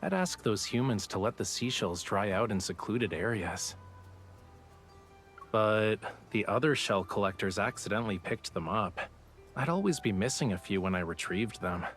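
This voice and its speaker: A man's voice: fairly low pitch, lively intonation, moderate pace, fairly bright tone, soft background music. An actor playing a playful, teasing young male artist of the sea folk in a game. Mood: relaxed, explaining. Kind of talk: game voice acting.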